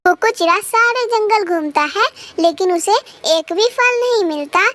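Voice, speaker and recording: A woman's voice: very high-pitched, animated, normal speed, a bit bright. A woman narrating a scripted children's cartoon story.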